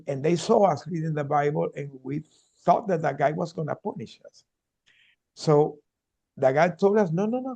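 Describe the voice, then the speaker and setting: Earnest man, high gravelly voice. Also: mellow, lo-fi sound. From Venezuela. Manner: earnest, repeats words and restarts often. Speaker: keynote speaker. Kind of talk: storytelling talk on a video call.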